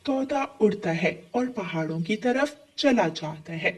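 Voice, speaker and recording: A woman's voice: medium pitch, lively intonation, measured pace, a little mellow, husky, far from the mic. A woman giving scripted narration for a children's story.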